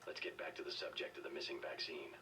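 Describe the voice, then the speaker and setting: A stern video game government agent with an extremely deep voice and a quick delivery. He is clipped, firm and serious, heard a little distantly over faint noise. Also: little pitch movement. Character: stern, loyal male government agent, gruff defender of the state.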